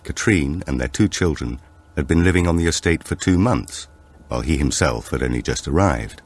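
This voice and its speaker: A man narrates a thriller audiobook in a very deep voice. His delivery is measured and dramatic, with pitch that moves expressively across the sentences.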